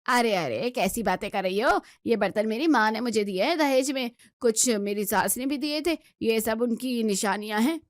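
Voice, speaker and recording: Woman acting a bossy, grumbling mother-in-law, high voice. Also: moderate pace, hardly any pauses, no echo, close to an everyday voice. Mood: indignant. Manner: scolding, complaining. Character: bossy, grumbling middle-aged Indian mother-in-law, stubborn but secretly pleased.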